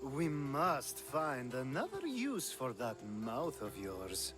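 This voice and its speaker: Game role, flirtatious Greek aristocrat: slow theatrical drawl, hugely animated intonation, sly. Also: male voice, mid-range voice, music in the background. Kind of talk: theatrical video game cutscene acting.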